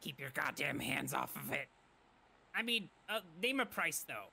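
A performer acts out a cocky, mouthy cartoon thief in an improvised comedy dub. The voice is very sharp and tense, with a gravelly edge and swooping, sarcastic delivery. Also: mid-range voice, sing-song, normal speed, slight background noise, full character voice. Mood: defensive.

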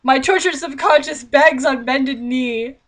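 Sarcastic young woman with a higher-pitched voice. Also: unhurried, fairly bright tone. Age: young adult. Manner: sarcastic, exasperated, theatrical. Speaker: vlogger reading a book aloud with commentary. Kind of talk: mocking read-aloud with sarcastic running commentary. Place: small indoor bedroom.

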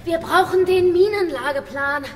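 A woman playing a fierce, distrustful fighter in a radio drama, sharp and defiant. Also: extremely high pitch, normal speed. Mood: urgent. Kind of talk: German radio drama acting. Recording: long reverberant hall.

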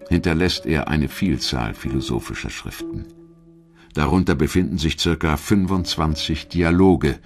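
A man reading scripted history documentary narration in a measured, clearly articulated storytelling manner. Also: very deep voice.

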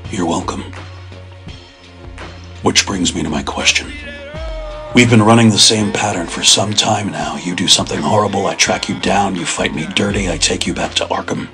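A man's voice: deep voice, normal speed, lightly acted. A man playing a grim, tormented masked vigilante in a comic dub, speaking in a restrained, solemn growl. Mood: stern. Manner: restrained, solemn, brooding delivery. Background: music.

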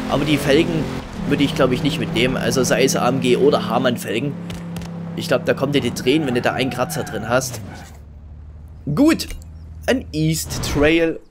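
A man with a regional German dialect doing casual, self-mocking let's-play commentary while racing in a game. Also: a little high. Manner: chatty, self-mocking, rambling. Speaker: gaming video host. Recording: loud game music and engine noise behind.

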